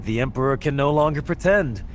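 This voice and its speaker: An actor playing a scheming, bitter heist organiser in a game cutscene, speaking in a measured, theatrical, rhetorical way. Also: male voice, mid-range voice, animated, background noise, lightly acted. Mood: intent, persuasive. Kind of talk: dramatic video game cutscene acting. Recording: music behind the voice.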